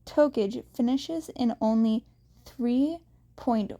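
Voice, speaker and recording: A woman reads a fanfiction story aloud at a slow, halting pace, with frequent restarts. Her voice sits a little high but sounds soft and mellow. She lifts her pitch sharply whenever she speaks a character's lines. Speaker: fanfiction reader.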